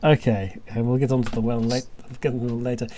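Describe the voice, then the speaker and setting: A man's voice: mid-range voice, steady tone, fast talker, a crowd in the background. A man hosting a casual group podcast, bantering and reading things out with a wry, exasperated manner. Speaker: podcast host.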